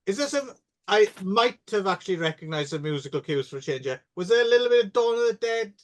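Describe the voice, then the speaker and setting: Male podcast co-host, high voice, sarcastic joker who fills every pause. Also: expressive. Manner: jokey and sarcastic, with many fillers. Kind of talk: casual film podcast chat.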